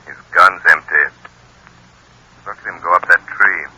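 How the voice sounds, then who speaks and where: Suave, commanding radio crime fighter, deep and piercing, mocking but never rattled. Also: male voice, a little slow, pushed and bright, slightly rough, exaggerated voice, rough recording. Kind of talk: old-time radio acting. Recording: vintage radio drama recording.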